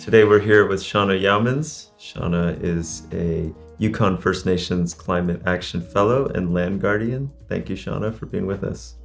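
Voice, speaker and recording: Earnest male podcast host, low voice. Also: normal speed, creaky, hardly any pauses. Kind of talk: scripted intro, then interviewing. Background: faint music.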